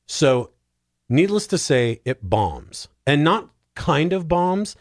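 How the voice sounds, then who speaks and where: Animated man telling a story. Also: fairly high pitch, takes it slowly, no room sound, clean studio sound. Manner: animated, emphatic repetition. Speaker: podcast guest. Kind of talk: casual comedic storytelling.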